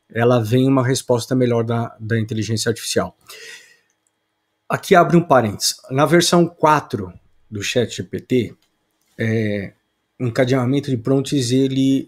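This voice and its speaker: A man's voice: mid-range voice, a little mellow. A man, teaching an online course lesson in an explanatory way, with frequent "é" fillers and restarts. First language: Portuguese. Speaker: course instructor.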